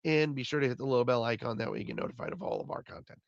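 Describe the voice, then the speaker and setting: Hoarse man, raspy gravelly voice, rambling recap, dry muffled sound. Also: medium pitch, huge pitch swings, rapid-fire, no room sound. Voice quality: raspy, fading voice. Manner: rambling, repeats himself. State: hoarse from being sick. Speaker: podcast host. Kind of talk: solo postgame recap.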